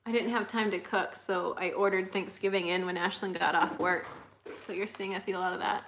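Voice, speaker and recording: This is a young woman with a high, slightly squeaky voice, talking casually on a livestream. She chats loosely, reacts to comments, and laughs easily. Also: steady tone, brisk, fairly bright tone, a little hiss or hum. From United States. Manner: chatty, laughs often, reads out comments. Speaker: livestream host.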